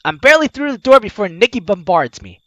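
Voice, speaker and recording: Bright, high-pitched, animated male gamer. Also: fast, dry recording. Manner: expressive, acts out lines as he reads. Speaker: let's play host. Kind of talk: gaming commentary, reading game text aloud.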